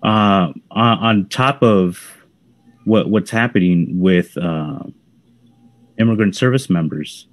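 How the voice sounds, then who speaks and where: A researcher in his thirties speaks over a video call with earphones. He has a very deep voice and talks slowly and in a measured way, with frequent fillers. The sound is dry and close. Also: no echo. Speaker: researcher panelist. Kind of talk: panel discussion answer.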